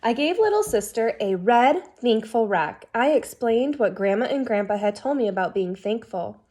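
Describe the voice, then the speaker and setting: A woman reading a children's picture book aloud expressively, as a teacher who pauses to put questions to young listeners. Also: a little high, expressive, darkish tone.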